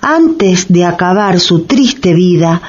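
A woman's voice: on the low side, sing-song, slow, husky, highs cut off, heavily acted. A woman giving scripted, dramatic narration in a radio drama.

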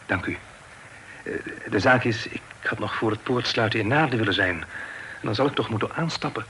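An actor playing a polite, flustered young gentleman in a Dutch radio play, speaking haltingly and courteously. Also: on the low side, lively intonation. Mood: hesitant, polite. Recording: noisy old radio play recording.

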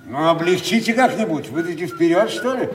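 An actor playing a pompous, exasperated high-ranking official who declaims imperiously in an audio drama. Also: male voice, big pitch swings, a little fast, slight background noise. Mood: imperious, astonished. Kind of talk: theatrical audio drama dialogue. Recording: distant, cavernous echo with loud music.

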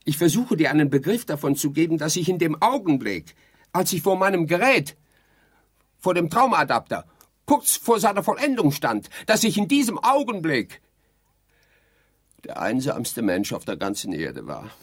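An actor playing a haughty, exasperated dead sleep researcher in a theatrical radio play, declaiming and lecturing indignantly. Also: male voice, fairly high pitch, wildly swooping pitch, moderate pace, husky, heavily acted.

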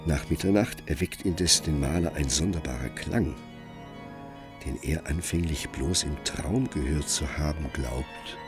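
An actor playing a grave, brooding narrator of a Gothic tale in a radio play, measured and solemn with dramatic emphasis. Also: male voice, rumbling low. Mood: solemn, suspenseful. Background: music.

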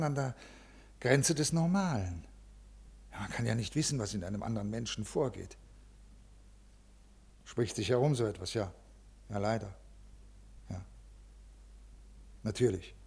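An actor playing a brusque, uneasy senior official with a halting, officious manner in a 1950s radio drama. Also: male voice, medium pitch, vocal fry, darkish tone, slightly rough, exaggerated voice. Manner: officious, halting, irritable with subordinates. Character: brusque, uneasy male senior bureaucrat, troubled by conscience. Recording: old 1950s radio recording.

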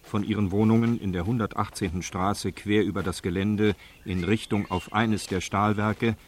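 Male narrator for crime radio drama: fairly low, sober, factual scripted delivery. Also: fairly even intonation, moderate pace. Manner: sober, reportorial.

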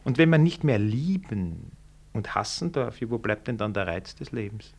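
An actor playing a shrewd, cynical senior ministry official in a 1960s German radio drama, speaking with dry, knowing irony. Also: male voice, lowish voice, animated, moderate pace, gravelly, breathy edge, heavily acted.

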